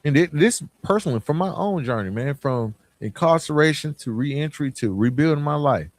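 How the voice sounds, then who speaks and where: A man's voice: fairly high pitch, lively intonation, moderate pace, no room sound. American man, dry close podcast sound. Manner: emphatic, colloquial, addresses listener directly with rhetorical questions. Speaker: podcast host. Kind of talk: motivational podcast monologue, casual and conversational.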